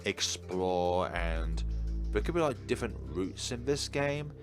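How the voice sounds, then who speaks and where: A man's voice: deep voice, lively intonation, measured pace, music in the background. A man hosting a gaming video, reading a visual novel aloud and commenting casually.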